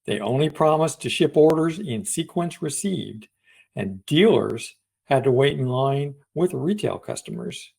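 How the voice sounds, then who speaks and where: A man reads aloud from a prepared audiobook script as its narrator. His voice has an average pitch for a man. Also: expressive, a little slow, gravelly, darkish tone, slightly rough. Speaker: audiobook reader.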